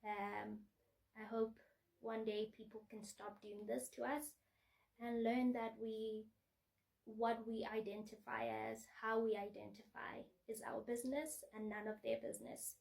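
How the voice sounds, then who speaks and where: A woman vlogger speaking to the camera in an earnest, measured, reflective way. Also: on the high side, little pitch movement, creaky, a little mellow, far from the mic, low-quality recording. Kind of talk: personal vlog monologue.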